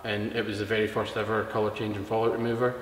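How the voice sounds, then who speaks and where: A man's voice: fairly low pitch, fast talker. Scottish man in an echoey hall. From Scotland. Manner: relaxed, matter-of-fact explaining. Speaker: guest, product distributor. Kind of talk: casual interview. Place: large indoor car showroom. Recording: echoey hall, faint room noise.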